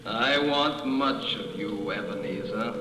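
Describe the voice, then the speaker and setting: A man's voice: lowish voice, takes it slowly, pushed and bright, slight background noise. An actor playing the mournful, reproachful ghost of a dead businessman, solemn and declamatory, in a radio drama. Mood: ominous, solemn. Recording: echoey reverberant hall effect, faint music.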